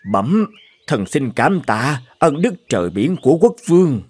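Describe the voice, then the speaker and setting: An actor playing a poor but clever graveyard keeper in a cartoon, explaining patiently and respectfully. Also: male voice, mid-range voice, very animated, unhurried, darkish tone, husky, dry recording. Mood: grateful. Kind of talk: dubbed cartoon fairy tale acting. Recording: music behind the voice.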